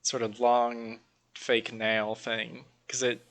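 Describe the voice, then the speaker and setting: A man's voice: medium pitch, completely flat delivery, slow, a bit bright. A man co-hosting a podcast, casually bantering and reading out odd news stories in an informal, sweary way. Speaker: podcast co-host.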